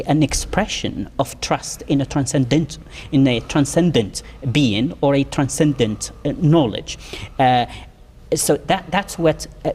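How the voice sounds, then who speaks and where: A middle-aged man from Morocco, a professor guest, answering interview questions in a measured way with many pauses. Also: medium pitch, darkish tone, slightly rough, big-room sound.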